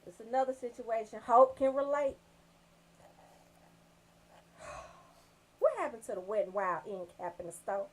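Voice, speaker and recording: A woman with a Southern US accent telling comedic stories as a radio host, brash, animated and freely swearing. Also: on the high side, a little slow, pauses often, low-quality recording. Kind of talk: comedic storytelling on a casual talk show.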